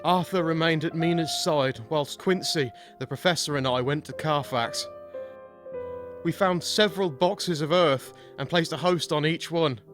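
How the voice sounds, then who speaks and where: Man as higher-voiced, earnest young gothic gentleman. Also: soft background music. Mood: solemn narration. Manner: measured, solemn storytelling. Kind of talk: audio drama narration and acting.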